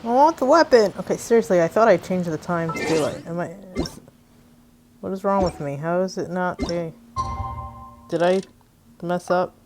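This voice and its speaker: A woman's voice: fairly low pitch, steady tone, normal speed, long pauses. A woman giving casual, rambling gameplay commentary, half talking to herself. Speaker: game streamer. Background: faint game music.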